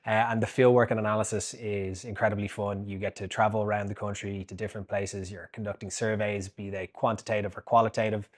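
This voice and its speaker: A man in his twenties, a graduate student, giving a reflective testimonial with frequent pauses and fillers. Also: lowish voice. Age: 20s.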